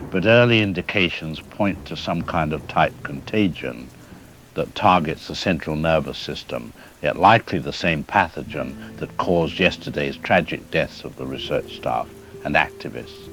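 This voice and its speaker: A man playing a government health doctor in a radio drama, giving a measured, careful official statement. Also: very deep voice, a bit bright. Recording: music, rain and thunder behind. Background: music, rain and thunder.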